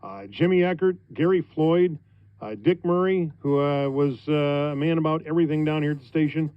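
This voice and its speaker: A middle-aged man with an American accent, a radio host talking on air in an informal, conversational, halting way. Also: fairly high pitch, clean tone, dry recording, muffled recording. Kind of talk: informal on-air thank-you speech. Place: radio studio.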